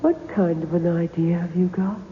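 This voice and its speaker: A woman's voice: on the low side, normal speed, lightly acted. A woman acts out a novelist's clinging imaginary heroine in a seventies radio drama. She is coaxing, plaintive and teasing. The voice swims in long reverb on a narrow old broadcast recording with faint noise. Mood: insistent, prying. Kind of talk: 1970s radio drama acting.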